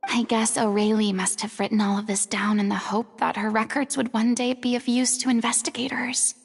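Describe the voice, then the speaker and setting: Earnest, high-voiced young investigator, reverberant. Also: female voice, flat delivery, normal speed, husky. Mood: solemn. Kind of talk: video game voice acting. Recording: long reverb with music underneath. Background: background music.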